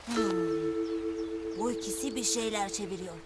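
A woman voicing a cheerful, curious young child hero in a cartoon, childlike and expressive. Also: mid-range voice, slow, natural voice. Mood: puzzled. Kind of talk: animated cartoon dubbing. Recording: loud music behind.

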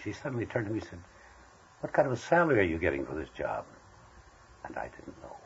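Very deep-voiced older man, noisy tape. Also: wildly swooping pitch, fast talker, gravelly. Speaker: veteran radio actor interviewed as guest. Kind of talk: casual reminiscing interview. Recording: dull, noisy interview tape.

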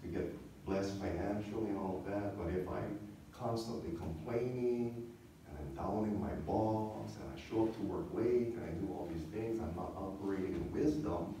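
A male pastor teaches from a church stage in a conversational sermon style, often checking in with questions. His voice is soft-edged and mellow. It is picked up from a distance, with heavy room echo and a little background noise. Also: on the low side, moderate pace. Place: church sanctuary, speaking from the stage. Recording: echoey church hall, distant mic, faint noise.

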